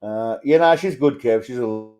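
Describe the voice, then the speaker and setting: An Australian man with a middling pitch chats off the cuff as the host of a livestream. His delivery is blokey and crude, full of swearing and rambling asides to his viewers. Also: moderate pace, warm tone. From Australia, Melbourne suburbs. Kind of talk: casual livestream chat answering viewers. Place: indoors.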